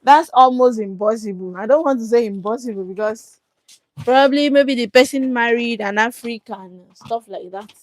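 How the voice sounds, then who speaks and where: A woman's voice: a little high, normal speed, a little mellow, rough recording. A young Nigerian woman with a Nigerian accent, hosting a casual live Q&A and chatting through viewer questions. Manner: chatty, reads viewer questions aloud and answers them. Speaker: livestream host.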